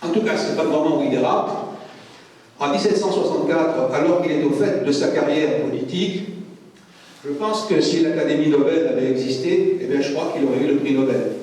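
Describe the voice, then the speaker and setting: Lecturer, male, Corsican first language, mellow voice recorded from afar in a reverberant hall. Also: fairly high pitch, fairly quick. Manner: conversational, frequent "hein?" asides. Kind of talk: public history lecture. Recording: echoey hall, mic far off.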